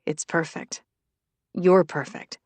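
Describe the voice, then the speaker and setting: A woman's voice: on the low side, fairly even intonation, slow pace, no echo. A woman voicing a devoted young firefighter husband in an audiobook, earnest and tender.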